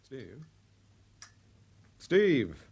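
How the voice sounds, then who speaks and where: A man playing a suave, mildly wry English gentleman detective in a radio drama, clipped and politely probing. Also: mid-range voice, big pitch swings, very slow, creaky, breathy edge, muffled recording, heavily acted. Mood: wry, mildly irritated.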